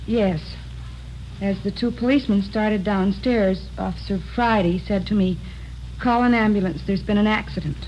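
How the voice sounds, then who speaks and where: An actress playing an earnest, precise stenographer giving formal, matter-of-fact testimony in a 1950s radio drama. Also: female voice, medium pitch, normal speed, lightly acted. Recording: muffled, noisy old radio recording.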